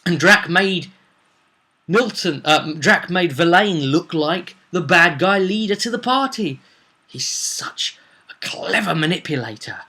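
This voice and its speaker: A man recounting a tabletop game session in an animated, emphatic way, throwing in rhetorical questions like a game master telling a tale. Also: on the high side, slightly rough. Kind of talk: animated vlog storytelling.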